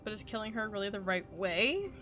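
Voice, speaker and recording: A woman's voice: medium pitch, sing-song, a little fast, a bit bright. A woman game streamer giving casual live commentary, musing aloud to herself. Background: faint game music.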